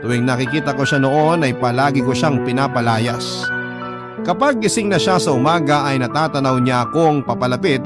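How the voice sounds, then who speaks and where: A Filipino man playing a shop owner, narrating his own remorseful story for a radio drama in a measured, confessional way. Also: mid-range voice, close to an everyday voice. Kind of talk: first-person dramatised narration for radio programme. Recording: loud music bed behind voice.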